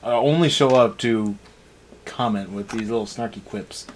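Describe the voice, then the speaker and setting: A man's voice: on the low side, normal speed, slight background noise. A man giving a casual, unscripted episode review as a co-host, with a sarcastic, snarky way of talking. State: tired.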